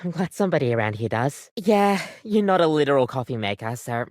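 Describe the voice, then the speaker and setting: A bubbly coffee machine barista girl from a video game, chatty n earnest, swoopy expressive voice, super dry sound. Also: very deep voice, fairly quick, dry recording. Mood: earnest, dismayed. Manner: expressive, chatty, rambling, gushing about coffee. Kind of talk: scripted video game voice acting.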